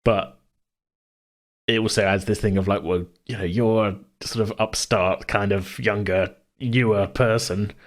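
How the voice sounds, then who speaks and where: Low-voiced man, halting casual chat. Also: steady tone. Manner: halting, thinking aloud. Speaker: tabletop role-play podcast host and game master.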